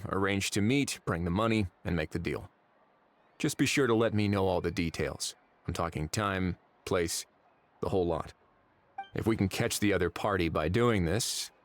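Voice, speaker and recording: A man voicing a shrewd, easygoing, world-weary official in a video game, with dry, measured authority. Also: low-pitched. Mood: firm, dry. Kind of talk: animated video game voice acting.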